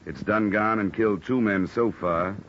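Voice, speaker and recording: An American actor playing a cynical, wisecracking hard-boiled insurance investigator in an old radio noir drama, with sardonic, clipped slang. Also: male voice, on the low side, normal speed, natural voice. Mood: hard, skeptical. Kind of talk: 1950s radio noir acting and narration. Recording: muffled, noisy old radio recording.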